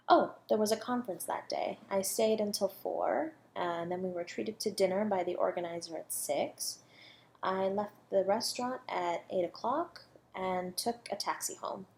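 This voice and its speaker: A woman's voice: medium pitch, a little mellow. Woman acting a coy, condescending female murder suspect. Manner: teasing, condescending, composed, deliberate. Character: coy, self-assured female academic, a murder suspect toying with detective. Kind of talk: flirty audio roleplay, one-sided dialogue.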